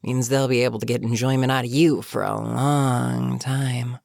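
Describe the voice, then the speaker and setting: A voice actor playing a bitter, menacing goblin captain in a fantasy audio roleplay, speaking to a captive with sardonic, unhurried menace. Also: mid-range voice, little pitch movement, vocal fry, a little mellow, no echo, clean studio sound, natural voice. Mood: smug, matter-of-fact. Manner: sardonic, unhurried, matter-of-fact threats. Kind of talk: scripted fantasy audio roleplay, addressing the listener.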